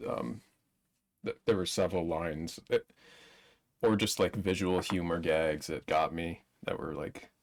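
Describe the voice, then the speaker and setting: A man co-hosting a casual movie discussion, speaking in a halting, thoughtful way. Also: low-pitched, steady tone, creaky. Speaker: podcast co-host. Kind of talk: casual movie review chat.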